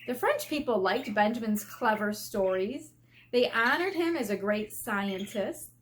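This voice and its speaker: American lady teacher doing a kids read-aloud, highish voice, explains stuff simply, bit of background noise. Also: expressive, a little slow. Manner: simple explanations addressed to children. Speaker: elementary school teacher reading aloud.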